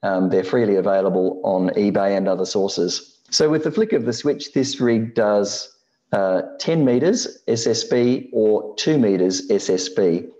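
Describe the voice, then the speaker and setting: A man in his sixties with an Australian accent explains things as a hobby presenter. His voice is low and mellow, with a measured delivery. The recording is slightly dull. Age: 60s. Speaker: amateur radio presenter. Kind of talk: scripted slide presentation narration.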